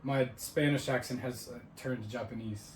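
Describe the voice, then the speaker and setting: A man's voice: mid-range voice, fairly even intonation, moderate pace. A young man co-hosting a podcast, chatting casually and quizzing his friend. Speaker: podcast co-host. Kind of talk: casual chat. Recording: roomy echo, faint ambient noise.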